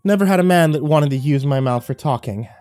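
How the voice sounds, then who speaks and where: A man's voice: on the high side, lively intonation, a little fast, dry recording, pitched above the performer's own voice, natural voice. A man putting on a brash, greedy street girl. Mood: mocking. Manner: sharp-tongued, brash. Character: coarse, greedy young woman of the streets. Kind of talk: audiobook character voice.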